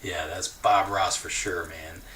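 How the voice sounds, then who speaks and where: A man hosting a livestream, chatting casually and reading viewer comments in a relaxed, easygoing way, laughing often and thinking aloud with fillers. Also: deep voice, unhurried, a little hiss or hum. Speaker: livestream host.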